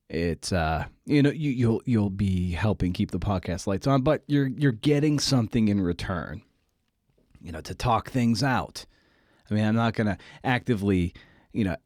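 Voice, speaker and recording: A man's voice: lowish voice, a little mellow, no room sound, clean studio sound. A man hosting a podcast in casual, candid talk, rambling and self-deprecating with frequent false starts. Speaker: podcast host.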